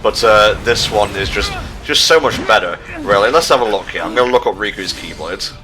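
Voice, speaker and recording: A man's voice: fairly low pitch, brisk, music in the background. A man doing casual video game co-commentary in an explanatory, lightly bantering way. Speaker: let's play commentator.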